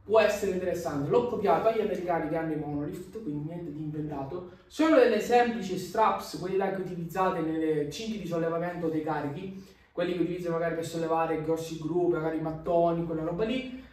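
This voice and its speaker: Young male gym vlogger, chatty and higher-pitched, heard from afar in an echoey room. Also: fast talker, a little mellow, distant mic. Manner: chatty, explains while pointing at gear. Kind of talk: casual how-to vlog. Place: large home gym with windows, indoors. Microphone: camera across the room.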